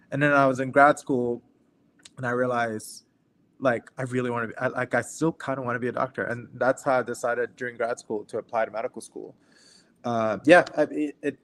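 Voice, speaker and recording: Casual young man telling his story. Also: medium pitch. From Cameroon. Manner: informal, conversational, often says "like" and "right?". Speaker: physician guest. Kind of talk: casual personal storytelling in an interview.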